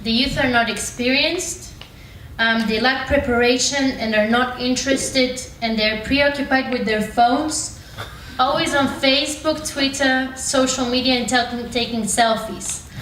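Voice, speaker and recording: A woman's voice: high-pitched, measured pace, a bit bright. A woman in her twenties from Bulgaria giving an earnest, argumentative prepared speech as a student speaker. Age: 20s. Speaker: student speaker on a youth panel. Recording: roomy, distant mic, background room noise.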